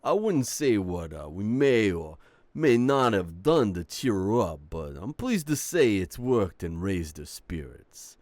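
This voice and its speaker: A man's voice: fairly low pitch, very animated, a little mellow, dry recording. A man playing a charming, cocky young mafia boss in a romantic audio roleplay, teasing and playful as he talks. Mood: smug, affable. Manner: playful, teasing, smooth-talking with drawn-out words.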